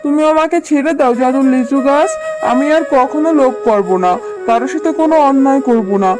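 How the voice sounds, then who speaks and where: A high-pitched cartoon tigress, played by a woman, greedy and full of schemes. She talks in scornful exclamations like a haughty village boss. Faint music plays underneath. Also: brisk, close to an everyday voice. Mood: pleading. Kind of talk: children's cartoon dubbing.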